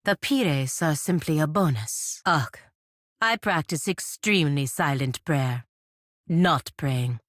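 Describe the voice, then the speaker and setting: An actress playing a proud, sardonic fantasy warrior-diplomat in a video game, speaking formally and with dry scorn. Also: female voice, low-pitched, lively intonation, slow pace, no echo, heavily acted. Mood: dry, scornful. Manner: formal, clipped, dryly scornful. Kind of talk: video game character dialogue.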